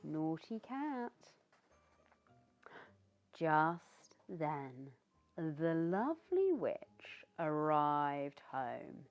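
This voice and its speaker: A British woman reading a children's story aloud in an expressive, theatrical way, with asides to the young listener. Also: low-pitched, very slow. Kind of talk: animated read-aloud narration for young children. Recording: dull lo-fi sound with background music. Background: music.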